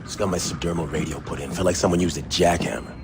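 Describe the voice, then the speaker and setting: An actor playing a cool, dutiful special agent in a game cutscene, speaking with dry restraint. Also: male voice, sits low, fast talker, music in the background. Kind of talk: dramatic game cutscene acting.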